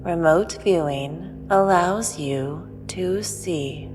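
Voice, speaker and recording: An enigmatic otherworldly woman, voiced by an actress speaks from inside a long reverb with music behind her. Her delivery is very slow and low, calm, aloof and all-knowing, in sci-fi audio drama style. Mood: serene. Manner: measured, matter-of-fact.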